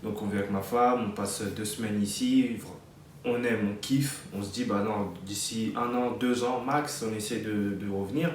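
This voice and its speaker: A man's voice: on the low side, normal speed, heavy reverb, far from the mic, a little hiss or hum. A man in his late twenties from France, giving casual advice in a chatty vlog, with many fillers and restarts. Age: 20s. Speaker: vlogger.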